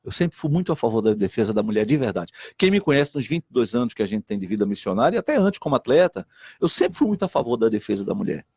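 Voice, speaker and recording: Recife guy, middle aged, earnest politician-preacher type, kinda husky, bouncy pitch. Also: quick pace, no echo, clean studio sound. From Recife, Brazil. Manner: earnest, repeats himself, quotes others in lively voices. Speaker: state deputy and missionary pastor. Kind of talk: animated talk-show conversation.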